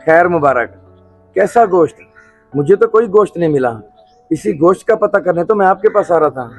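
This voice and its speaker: A man's voice: sits high, animated, faint music behind. A man playing a humble, forgiving neighbour in a moral-story drama, speaking in a courteous, conciliatory way. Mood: puzzled. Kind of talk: Urdu moral-story audio drama acting.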